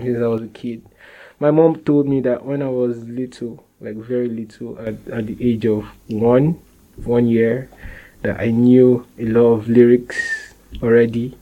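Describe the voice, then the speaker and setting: A young man with a Nigerian accent, answering interview questions in a relaxed way, often restarting phrases and laughing softly. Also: medium pitch, low-quality recording. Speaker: interviewee, musician.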